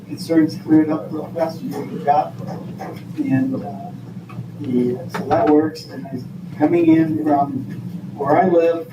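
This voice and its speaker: An older man from Vermont speaking informally at a public meeting, in a halting, folksy, rambling way. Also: a little high. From Vermont, United States. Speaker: school board member leading the meeting. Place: indoor meeting room. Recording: echoey room, distant mic, noisy background.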